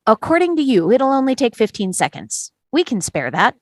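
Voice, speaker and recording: A woman at a play table read, playing a calm, persistent juror who explains and tests evidence in a measured way. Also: a little high, no echo, clean studio sound. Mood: determined.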